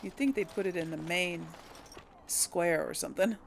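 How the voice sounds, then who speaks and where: A woman's voice: lowish voice, normal speed, vocal fry, slight background noise. A woman doing casual game commentary and role-playing dialogue choices, musing aloud with little hums. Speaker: gamer narrating a role play series.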